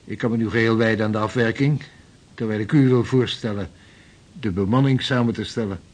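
An actor in a radio play playing an eager, seasoned Dutch sea captain who talks heartily and decisively. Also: male voice, on the low side, a little fast, lightly acted.

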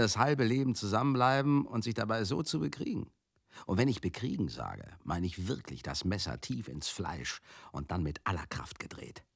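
A baffled, outspoken man muses aloud in a radio drama. His husky voice leaps high and drops low, emphatic one moment and confiding the next. The sound is close and dry. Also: no echo, full character voice. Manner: emphatic, exclamatory, dropping to confiding tones. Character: baffled, outspoken man musing on a warring couple. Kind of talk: conversational radio drama monologue.

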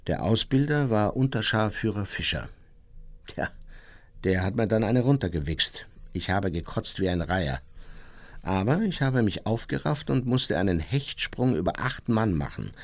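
An actor playing an old, unrepentant war criminal who reminisces boastfully and matter-of-factly in a radio play. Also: male voice, lowish voice, animated, moderate pace. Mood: boastful reminiscing. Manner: chatty, boastful, matter-of-fact storytelling.

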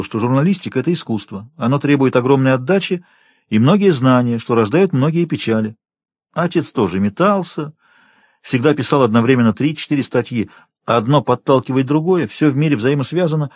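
A man's voice: medium pitch, animated, a little fast, darkish tone, dry recording, studio quality. A man reading a first-person literary story as an audiobook narrator, expressively shifting tone as he goes.

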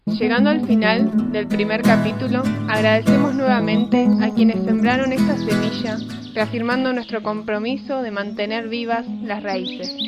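A woman's voice: on the high side, fairly even intonation, normal speed, hardly any pauses. A woman presenting a programme in scripted narration. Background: loud plucked guitar music.

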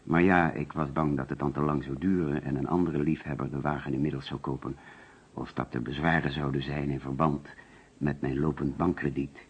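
An actor playing an uneasy, defensive insurance agent who explains himself hesitantly under police questioning in a radio play. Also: male voice, rumbling low, steady tone, brisk, husky, heavily acted. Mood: uneasy, explaining. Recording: dull old radio recording.

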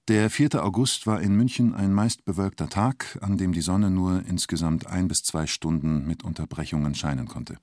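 An actor as the narrator of a radio play about a robber, speaking in terse, deliberate, clipped phrases. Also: male voice, low voice, a little mellow, natural voice. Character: male narrator telling a bank robber's story tersely. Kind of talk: radio play narration, short present-tense sentences.

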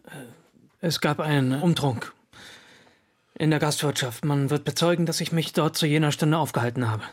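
An actor playing a defiant apprentice musician under suspicion in a period radio drama, defensive and insistent. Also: male voice, fairly high pitch, fairly even intonation, normal speed, lightly acted. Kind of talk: German radio drama acting in period speech.

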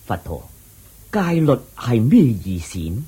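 A man voicing an earnest official in a radio drama, asking a teacher questions deferentially in a husky voice. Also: on the low side, sing-song, a little slow, mellow, exaggerated voice. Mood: inquisitive. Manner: deferential, inquisitive. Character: earnest, respectful male official of a small kingdom.

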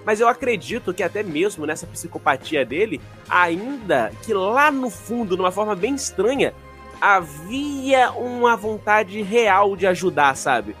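A man with a slight lisp argues his take on a casual podcast, his voice sitting a touch high. He swears freely and laughs as he goes. Faint music plays underneath. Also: big pitch swings, a little slow, a bit bright. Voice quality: mild speech impediment. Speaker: podcast co-host. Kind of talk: casual group chat.

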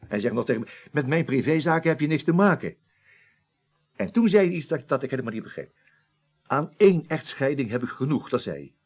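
An actor playing an evasive office superior under questioning in a Dutch radio play, hesitant, rambling and defensive. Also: male voice, mid-range voice, very animated, brisk, breathy edge. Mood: uneasy recounting.

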